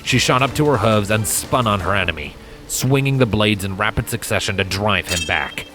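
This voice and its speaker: A man reading a dramatic fantasy story as a scripted narrator, measured and deliberate. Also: fairly low pitch, expressive, music in the background. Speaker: fan fiction narrator.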